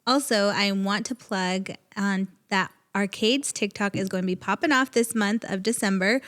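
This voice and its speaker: A woman's voice: medium pitch, moderate pace, fairly bright tone. A woman in her thirties co-hosting a podcast in casual chat, with a bubbly, smiley and friendly manner. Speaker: podcast co-host.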